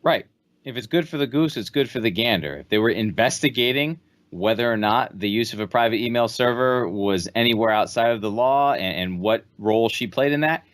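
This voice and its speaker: A man's voice: on the low side, no room sound. A man in his thirties co-hosting a podcast in casual, conversational political commentary. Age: 30s. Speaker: podcast co-host.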